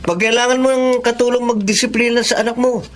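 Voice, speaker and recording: A man's voice: very high-pitched, steady tone, fast talker. A man playing a jovial, nudging best buddy in a Filipino radio drama, with a Filipino accent. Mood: teasing. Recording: noisy ambience under the voice.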